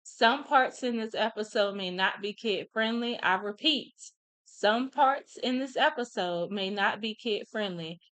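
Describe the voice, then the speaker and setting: A young woman hosting a casual solo podcast, chatty and relaxed with many fillers, a little stuffy from a cold. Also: mid-range voice, a little slow, smooth. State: congested from a cold. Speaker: podcast host.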